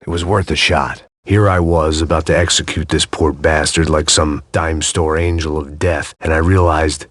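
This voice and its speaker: An actor playing a middle-aged, world-weary ex-cop in a game, giving bleak, sardonic noir voice-over narration in a gravelly voice. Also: male voice, very deep voice, steady tone, moderate pace, hardly any pauses, a bit bright, dry recording. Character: world-weary, hard-drinking male ex-cop antihero.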